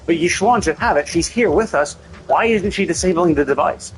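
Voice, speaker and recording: A man with a slightly high voice streams a game. He rambles critically in a casual way and repeats points for emphasis, with music playing behind him. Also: animated, a little fast, poor audio. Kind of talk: casual live game commentary.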